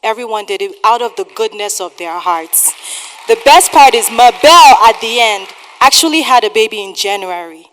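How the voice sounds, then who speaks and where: A woman with a fairly high voice gives a producer's thank-you speech. She stresses and repeats her words emphatically in a reverberant hall with faint noise. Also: expressive, normal speed, fairly bright tone. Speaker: theatre producer thanking cast and sponsors.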